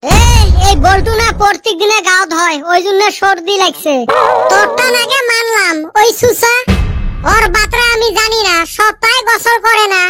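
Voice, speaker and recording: A woman's voice: very high voice, fairly even intonation, normal speed, over loud music. A woman with a Rajbongshi accent voicing a mouthy, bickering village youngster in a comic cartoon. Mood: teasing. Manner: teasing, insulting.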